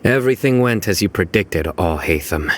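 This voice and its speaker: An actor playing a stern, composed law enforcer in a video game, terse, formal and calm. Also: male voice, deep voice, normal speed, slight background noise. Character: Cyno, stern, composed male chief enforcer.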